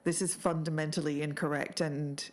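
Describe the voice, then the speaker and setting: Lowish-voiced woman hosting a podcast. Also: flat delivery, moderate pace. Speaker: podcast co-host. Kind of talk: podcast narration with casual asides.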